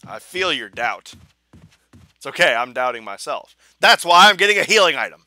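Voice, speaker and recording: A young man commenting on a video game as he plays, joking and mocking himself, with a hoarse voice that he says is going. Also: a little high, normal speed, no room sound. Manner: joking, self-mocking, animated. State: hoarse, losing his voice. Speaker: gaming channel host. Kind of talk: casual let's play commentary.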